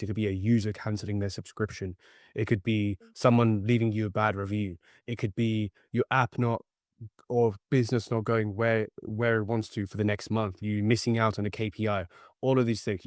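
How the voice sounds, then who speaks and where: The low-voiced male guest on a career podcast, earnestly and emphatically handing out advice in a dry, close recording. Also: no room sound. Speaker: podcast guest, actor and startup founder. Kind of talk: conversational interview answers.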